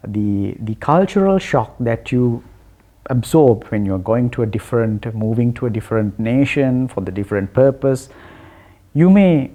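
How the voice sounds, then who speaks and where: A man with a Sri Lankan accent asking informal interview questions in halting, roundabout phrases. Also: mid-range voice, expressive, dark tone.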